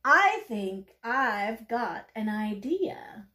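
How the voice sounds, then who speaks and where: Woman as a curious, high little storybook duckling. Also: huge pitch swings, slow, full character voice. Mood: pleased. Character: little duckling, curious, sly and innocent-sounding pest. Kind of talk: children's picture-book character voice.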